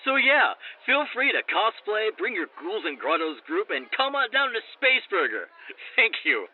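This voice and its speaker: An actor playing a geeky, pedantic, excitable sci-fi restaurant owner as a radio guest, over a thin phone line. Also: male voice, high-pitched, normal speed. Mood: gleeful. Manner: nerdy, pedantic, enthusiastic.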